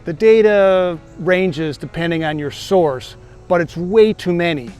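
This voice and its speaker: A man hosts a gardening show and narrates from a script. His voice sits a little high for a man, and he explains each point in a steady, measured way. Soft music plays faintly underneath.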